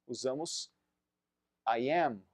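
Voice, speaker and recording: A man in his thirties, a native Portuguese speaker, teaching an English lesson and drilling phrases slowly for learners to repeat. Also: mid-range voice, no room sound, clean studio sound. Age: 30s. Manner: slow, deliberate drilling of phrases for repetition. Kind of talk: recorded online language lesson.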